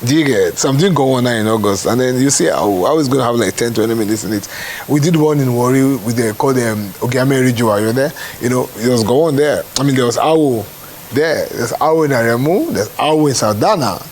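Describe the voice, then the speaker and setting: A man's voice: mid-range voice, fast talker, a little mellow. Nigerian man over audible hiss. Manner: emphatic repetition, frequent check-in tags. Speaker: stage play producer. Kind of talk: informal interview answer. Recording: clearly noisy with hiss.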